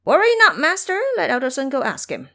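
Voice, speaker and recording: Woman playing a brash, high-pitched monkey hero. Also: wildly swooping pitch, brisk, pushed and bright, heavily acted. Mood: eager. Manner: boastful, brash, lecturing. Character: bold, cocky male monkey disciple, cheerfully reassuring. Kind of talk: audio drama character acting.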